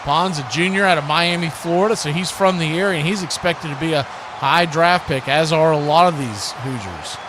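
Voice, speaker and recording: A man's voice: on the high side, normal speed. A man doing live football play-by-play as an announcer, speaking in clipped phrases that build on big plays. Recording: clearly noisy live stream.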